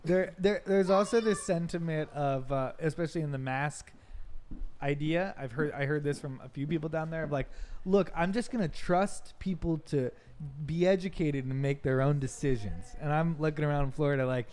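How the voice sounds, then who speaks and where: A man co-hosting a podcast in casual conversation, talking loosely and haltingly as he thinks aloud. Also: a little high, a little mellow. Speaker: podcast co-host.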